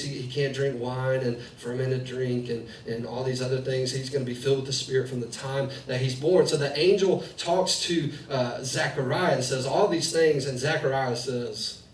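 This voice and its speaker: A man's voice: mid-range voice, steady tone, moderate pace, hardly any pauses. Man preaching in an echoey church. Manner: conversational preaching with personal stories. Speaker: pastor. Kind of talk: sermon. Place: church sanctuary, preaching in the round. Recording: echoey hall, distant mic, faint noise.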